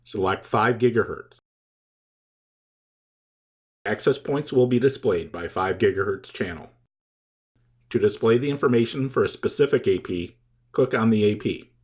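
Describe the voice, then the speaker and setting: A man reading scripted instructional narration as a tutorial presenter, explaining things in a measured, step-by-step way. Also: fairly low pitch.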